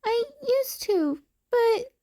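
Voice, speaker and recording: A woman voicing a small, timid cat-boy in a podfic story, with a quiet, hesitant, pleading voice. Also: extremely high pitch, expressive, drawn-out words, pitched above the performer's own voice, heavily acted. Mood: sad. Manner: hesitant, pleading, breaking off mid-sentence. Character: frightened small cat-boy, timid, wary, cheekily cute.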